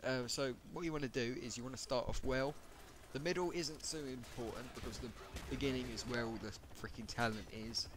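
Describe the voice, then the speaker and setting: A man's voice: a little fast, few pauses, fairly bright tone, a little hiss or hum. A man chatting casually about gaming commentary, rambling and correcting himself as he goes. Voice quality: says he has a high voice.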